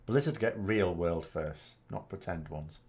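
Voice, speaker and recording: A man's voice: deep voice, unhurried, a little mellow, husky. A man, chatting casually and rambling as a gaming host answering viewer questions, often correcting himself with dry self-mockery. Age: 40s.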